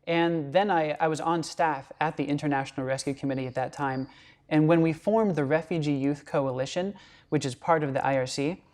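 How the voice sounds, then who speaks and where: A man who leads an outdoor youth program, answering interview questions in an earnest, measured way and often qualifying what he says. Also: fairly high pitch, darkish tone.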